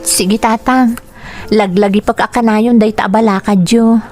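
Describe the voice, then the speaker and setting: Actress as an earnest young Ilocano daughter. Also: female voice, mid-range voice, steady tone, moderate pace, darkish tone, soft background music, lightly acted. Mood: discouraged. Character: earnest dutiful eldest daughter seeking work. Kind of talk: radio drama acting.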